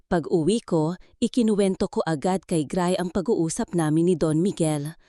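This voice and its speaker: Woman reading a dramatic story, kinda low and soft-toned, earnest, super dry recording. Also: moderate pace, no room sound. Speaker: story narrator. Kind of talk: scripted first-person dramatic story narration in Tagalog radio drama manner.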